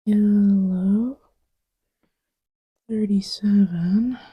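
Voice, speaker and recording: A woman playing an easygoing girlfriend in a soft ASMR roleplay, rambling hesitantly in a breathy murmur that often drops to a whisper. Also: medium pitch, steady tone, very slow, dry recording, lo-fi sound, full character voice. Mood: relaxed, musing. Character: easygoing girlfriend chatting idly while testing markers.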